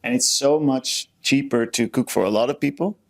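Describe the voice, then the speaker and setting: A man's voice: mid-range voice, normal speed, gravelly. Dutch guy cohosting a podcast, chatty, gives advice, stresses his point by repeating stuff. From Netherlands. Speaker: podcast co-host. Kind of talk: casual chat giving tips.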